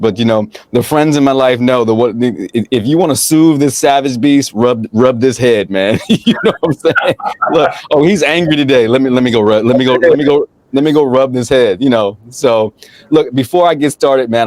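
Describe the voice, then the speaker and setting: Animated, playful male host, dry sound. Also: medium pitch, normal speed, dry recording. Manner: animated and playful, laughs often, repeats phrases. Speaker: podcast host. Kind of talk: casual interview chat.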